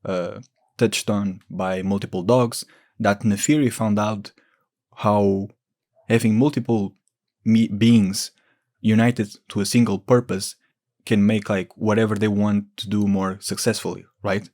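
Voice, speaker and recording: A man's voice: fairly low pitch, little pitch movement, measured pace, a little mellow. A man giving informal, explanatory lore commentary as a gaming video creator.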